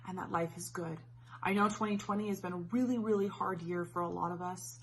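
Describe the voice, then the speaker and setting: Female, medium pitch, sincere direct address, faint noise. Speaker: voice actress. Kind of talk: sincere greeting to viewers.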